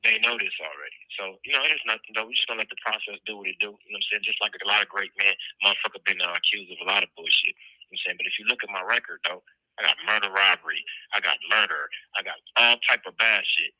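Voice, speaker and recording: Brash, defiant male phone interviewee, slangy, rapid delivery, hard bright edge, telephone sound. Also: lowish voice, lively intonation, dry recording. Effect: telephone line. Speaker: jailed interviewee on a phone call. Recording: dry, sharp jail phone call.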